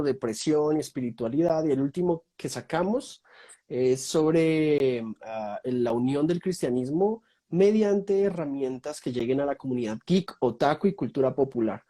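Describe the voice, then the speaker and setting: Mellow-voiced Colombian man on a call. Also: medium pitch, animated, measured pace. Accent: Colombian Spanish. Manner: thoughtful, lists topics with many fillers. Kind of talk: casual online conversation. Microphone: video call.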